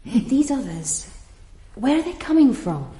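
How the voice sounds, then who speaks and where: An actress playing an inquisitive, plain-spoken colleague of a police inspector in a radio drama. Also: female voice, a little high, very animated, measured pace, mellow, husky, no room sound, full character voice. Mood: puzzled. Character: questioning, uneasy female colleague of a police inspector.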